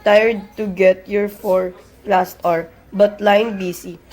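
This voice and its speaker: A young woman playing a businesslike telegraph clerk in an amateur radio play, reading formally and deliberately. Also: medium pitch, fairly even intonation, a little mellow, faint music behind, rough recording.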